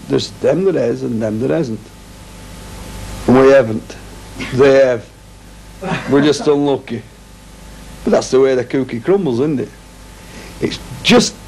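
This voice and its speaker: A man's voice: medium pitch, gravelly, darkish tone, rough recording. A middle-aged man with a Lancashire accent, talking bluntly and with wry jokes in a casual interview at home. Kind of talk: casual opinion chat. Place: home living room. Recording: 1980s TV film with faint noise.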